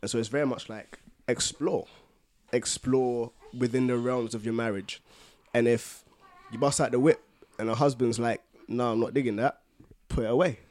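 A man's voice: mid-range voice, fairly even intonation, moderate pace, a little mellow. A man co-hosting a podcast in casual, teasing, jokey discussion. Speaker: podcast co-host.

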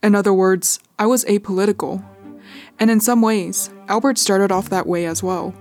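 A woman's voice: medium pitch, steady tone, moderate pace, darkish tone, soft background music. A young adult woman from California with an American accent, reading scripted narration as a podcast host. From California, United States.

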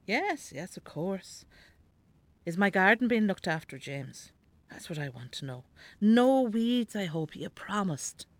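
A woman's voice: medium pitch, sing-song, a little slow, no room sound. An actress playing a sick, elderly Irish mother in a radio drama, demanding and teasing, with a plaintive, contrary manner. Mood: plaintive, fussing. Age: older. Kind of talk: naturalistic radio drama acting.

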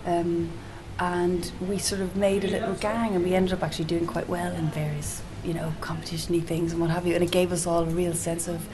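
A soft-spoken woman being interviewed as a singer-songwriter, talking in a casual, hesitant way with frequent restarts. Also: on the low side, level tone, a little fast, few pauses, poor audio. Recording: noticeable background hiss.

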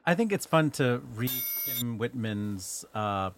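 A man co-hosting a casual talk about singing, relaxed and hesitant with fillers. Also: mid-range voice, takes it slowly, a little hiss or hum. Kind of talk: casual chat.

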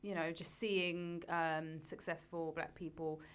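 Soft-spoken young woman, hesitant. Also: low-pitched, little pitch movement, slow, clear, resonant tone. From Ethiopia. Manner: thoughtful, hesitant, many fillers. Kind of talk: casual group chat.

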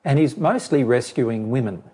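A man's voice: medium pitch, warm tone, slightly rough. A man losing his voice, explaining a personal question at length and restarting his phrases as he goes. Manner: measured, repeats and restarts phrases. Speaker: spiritual teacher answering a question. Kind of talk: explaining to a listener.

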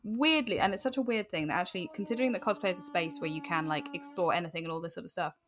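A woman's voice: on the low side, racing. A British woman in her late twenties chatting casually and candidly as an interview guest, in an informal way, often acting out remembered remarks. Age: late 20s. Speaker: podcast guest, cosplayer and writer.

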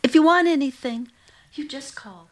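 A woman's voice: sits high, moderate pace, heavy background noise, lightly acted. A woman acting in a radio play as a chatty, solicitous working-class landlady with a folksy, motherly, fussing manner.

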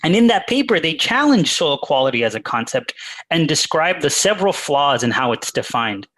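A man's voice: fairly high pitch, very animated, a bit bright, rough recording. Explanatory man in an academic interview. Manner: measured, explanatory, with fillers and restarts. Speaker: guest researcher.

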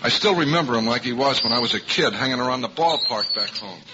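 An actor playing a dejected, self-doubting young minor-league ballplayer, speaking earnestly in a 1950s radio drama. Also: male voice, mid-range voice, animated, fast talker, natural voice. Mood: dejected, worried. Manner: earnest, downcast. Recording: dull, noisy, reverberant old radio recording.

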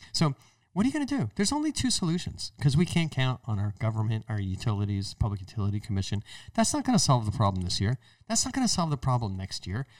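A man's voice: on the low side, a little mellow, no room sound, distant mic. An American man hosting a show, giving explanatory commentary in a colloquial, emphatic way, repeating phrases for stress. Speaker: podcast host and solar business owner.

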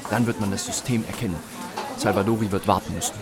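An actor in an audio drama playing a pedantic, excitable bird scientist who speaks precisely and emphatically, as if lecturing. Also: male voice, fairly low pitch, fast, close to an everyday voice. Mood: insistent, brooding. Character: pedantic, excitable male owl scientist, obsessed with his research. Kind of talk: German audio drama acting.

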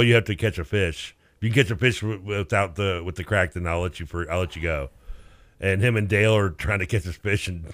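A man co-hosting a casual sports radio chat, quick to laugh and animated when telling a story. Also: sits low, no echo. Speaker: radio co-host.

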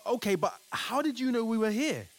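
A man's voice: very high-pitched, big pitch swings, a little fast, exaggerated voice. An actor playing an anxious, devoted young husband and new father in an audio play. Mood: anxious, relieved. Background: music.

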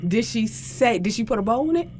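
A woman's voice: on the high side, animated, a little fast, darkish tone, slightly rough. A woman co-hosting a casual podcast, blunt and teasing as she lectures the men. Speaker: podcast co-host. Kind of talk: casual chat.

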